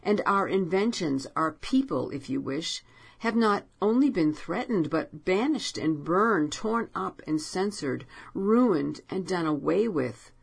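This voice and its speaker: A woman's voice: on the low side, expressive, unhurried, gravelly, breathy edge, pitched above the performer's own voice, full character voice. A woman voicing a grim, frightened and angry male writer in a storybook reading, pleading urgently. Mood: impassioned. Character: grim, brooding male writer, driven and bitter.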